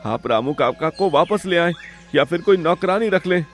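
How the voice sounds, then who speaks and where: Man acting a high-pitched, pleading younger brother. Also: animated, normal speed, soft background music, lightly acted. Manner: plaintive, timid. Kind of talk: Hindi animated moral story acting.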